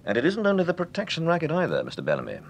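A man with an English accent playing an urbane, composed, probing gentleman detective in an old-time radio drama. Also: huge pitch swings, racing, creaky, heavily acted. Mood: explanatory. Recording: noisy radio recording with low rumble.

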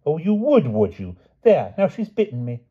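A man playing a dithering, high-voiced old knight-king. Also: huge pitch swings, normal speed, breathy edge, phone-quality sound, exaggerated voice. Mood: flustered. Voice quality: dithering, upper-class, tacks on "what?". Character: bumbling, fussy elderly knight-king, kindly and flustered.

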